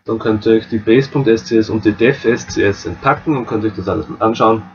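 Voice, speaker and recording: A man with an Austrian accent walking viewers through a game map editor, relaxed and explaining step by step. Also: fairly low pitch, fast, dull audio, a little hiss or hum. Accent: Austrian German. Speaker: modding tutorial host. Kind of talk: screen-recorded software tutorial.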